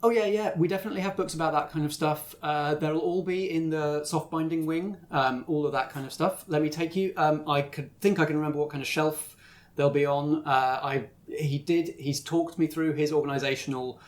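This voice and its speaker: Guy doing a nerdy library girl for a tabletop game, lifted pitch, flustered, eager to help, rambles to herself. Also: steady tone, fairly quick, lightly acted. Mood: eager, rambling. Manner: rambling, muttering to self while cataloguing. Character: big, bookish, chatty young library girl, flustered and helpful.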